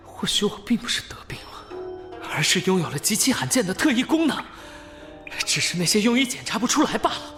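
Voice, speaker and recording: A man playing an intense, scheming young mental patient in an audio drama, muttering his thoughts, often in breathy whispers. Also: high voice, sing-song, normal speed, pushed and bright, soft background music, heavily acted. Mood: elated, delusional. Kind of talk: Chinese audio drama acting.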